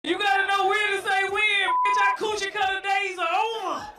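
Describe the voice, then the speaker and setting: A woman in a played clip, delivering a loud, scolding rant. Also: very high-pitched, level tone, normal speed. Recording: echoey, far from mic, with music.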